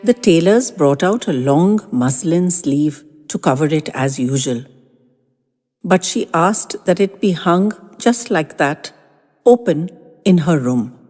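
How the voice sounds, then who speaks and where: A woman tells a first-person story in a low, mellow, slightly husky voice. She reads gently and expressively, letting phrases linger. The reverberant sound has soft music playing beneath it. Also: lively intonation, slow. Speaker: narrator.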